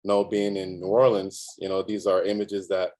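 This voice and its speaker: Low, flat-voiced man from Barbados. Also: moderate pace. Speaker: guest artist. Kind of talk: conversational self-introduction.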